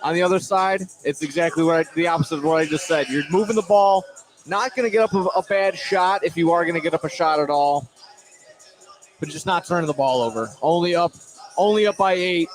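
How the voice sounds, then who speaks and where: A man's voice: on the high side, a little fast, faint music behind, rough recording. A man giving live basketball analysis on radio as color commentator, chatty and often rephrasing his points.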